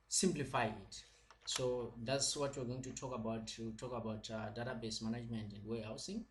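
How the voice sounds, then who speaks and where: Male lecturer, mid pitch, slow and patient, repetitive with fillers.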